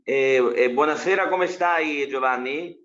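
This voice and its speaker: A man interpreting live, in a quick and informal manner. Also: fairly high pitch, a bit bright, dull audio.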